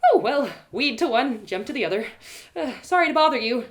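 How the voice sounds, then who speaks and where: A flustered young adventurer heroine performed by a woman storyteller. She stammers and chatters excitably, her high voice leaping around with nervous life, as in an audio fantasy tale. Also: brisk.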